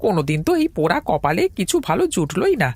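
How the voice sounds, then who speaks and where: Man acting grumpy, high-pitched village tea stall keeper. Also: big pitch swings, quick pace, slightly rough, no room sound, heavily acted. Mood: exasperated. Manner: scolding, exasperated. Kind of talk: Bengali audio story drama acting.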